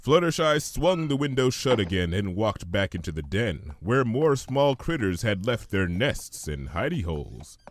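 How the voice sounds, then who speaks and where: Expressive male storyteller, very animated pitch. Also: unhurried, few pauses, dry recording, studio quality. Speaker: fan fiction reader. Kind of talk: expressive scripted storybook narration.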